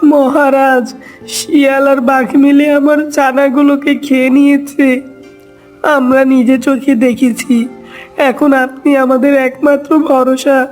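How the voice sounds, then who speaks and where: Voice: high-pitched, level tone, takes it slowly, a little mellow, soft background music. A performer voicing an anxious mother duck in a cartoon story, in a pleading, lamenting manner. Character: anxious mother duck grieving her lost ducklings.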